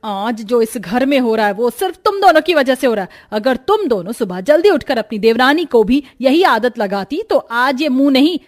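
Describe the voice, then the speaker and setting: Stern, scolding mother-in-law. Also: sits high. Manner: reproachful, lecturing. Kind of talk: Hindi cartoon story dialogue.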